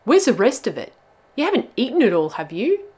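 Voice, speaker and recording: A woman voicing a bossy, impatient male teddy bear in a children's storybook, brisk, snappy and self-assured. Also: fairly low pitch, very animated, warm tone, slightly rough, heavily acted. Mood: firm, insistent. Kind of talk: children's storybook read-aloud.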